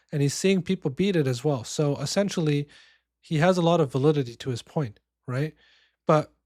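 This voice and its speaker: A man with a hoarse voice from being sick, giving loose casual commentary on a strategy game and often restarting his phrases. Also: a little high, darkish tone, dry recording, clean studio sound. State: hoarse, losing voice from illness.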